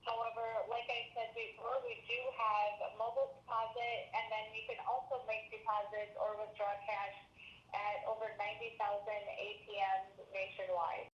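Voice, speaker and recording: A woman's voice: medium pitch, level tone, moderate pace. A woman working as a credit union representative, answering member questions on a conference call. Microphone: conference call connection. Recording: echoey, muffled webinar call with background noise.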